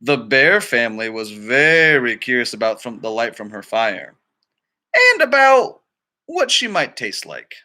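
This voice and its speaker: A man narrates a children's picture book in a very bright, forceful voice. His pitch sits a little high and swings widely, and he phrases things dramatically at an unhurried pace. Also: huge pitch swings. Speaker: storybook reader. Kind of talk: expressive children's read-aloud narration with casual asides.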